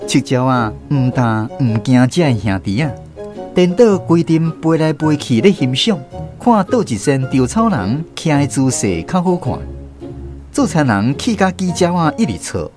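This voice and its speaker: A man's voice: mid-range voice, sing-song, unhurried, over music. A man with a Taiwanese accent playing a storyteller who recalls his village childhood in a radio drama. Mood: reminiscent, animated storytelling.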